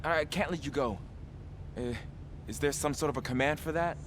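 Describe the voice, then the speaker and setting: A man's voice: fairly high pitch, moderate pace, a bit bright, a little hiss or hum, lightly acted. An actor playing a young, nervous, eager rookie policeman in a video game, hesitant and pleading. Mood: flustered, pleading.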